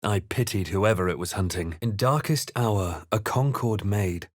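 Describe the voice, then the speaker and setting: A man voicing a grave, watchful, wry spellcasting adventurer hero in a video game, with a measured, dramatic delivery. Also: on the low side, dry recording, clean studio sound. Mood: somber, reflective. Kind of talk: video game voice lines, dramatic narration and incantations.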